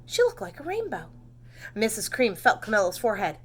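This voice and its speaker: A woman teacher reading a storybook to preschoolers, expressive and dramatic, pausing for simple questions and explanations. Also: mid-range voice, husky. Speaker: preschool read-aloud teacher. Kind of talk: children's storybook narration with asides and questions.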